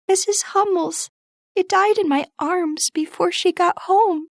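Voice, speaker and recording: Lady doing a soft anxious young girl, voice pitched up high, dry close sound. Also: animated, a little slow, no echo. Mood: urgent. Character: gentle shy young girl, Beth. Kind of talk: storybook reading.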